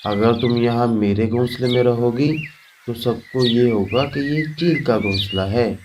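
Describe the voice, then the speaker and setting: A man's voice: mid-range voice, measured pace, distant mic, noisy background, close to an everyday voice. A man voicing a greedy, scheming eagle who blusters and feigns friendliness in a children's cartoon. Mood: falsely friendly. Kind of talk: Hindi children's cartoon voice acting.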